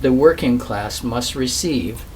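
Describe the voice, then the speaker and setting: A man reads a formal political speech at a slow pace, pausing and leaning on phrases for emphasis. His pitch sits in the middle range. The recording is distant, with clear noise behind the voice. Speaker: reader of a historical political speech. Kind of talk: reading aloud a formal speech, oratorical. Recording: distant mic, clear steady background hiss.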